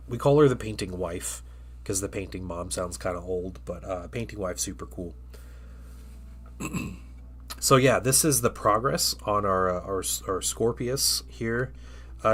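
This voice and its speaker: A man's voice: low voice, fairly bright tone. Stuffy-sounding man chatting on stream. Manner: rambling, many fillers and restarts. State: stuffy, getting over a cold. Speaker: streamer painting miniatures. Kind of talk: casual live-stream chat.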